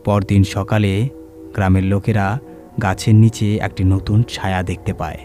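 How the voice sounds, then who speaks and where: A man narrating a scripted horror story in a measured, suspenseful, dramatic storytelling manner. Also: fairly low pitch, level tone, mellow. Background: music.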